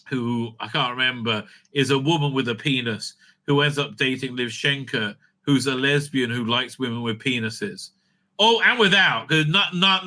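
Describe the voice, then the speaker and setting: A man's voice: medium pitch, sharp tone. A man hosting a casual fan review, ranting bluntly with plenty of swearing and exasperation, often tagging his points with a questioning "right?". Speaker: host of a fan review show. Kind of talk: casual opinionated review rant.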